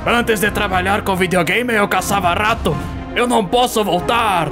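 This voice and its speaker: A man's voice: sits high, normal speed, over loud music, pitched above the performer's own voice, lightly acted. A Brazilian man voicing nervous, scheming office workers in a cartoon fan dub, with a whiny, wheedling delivery. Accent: Brazilian Portuguese.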